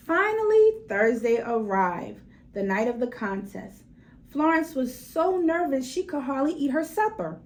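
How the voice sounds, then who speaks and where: A woman reads a children's story aloud in a high voice with very lively intonation. She leans on key words dramatically and speaks straight to her young listeners. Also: a little slow. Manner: animated, dramatic emphasis, addresses listeners directly. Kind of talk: expressive children's story reading with asides to listeners.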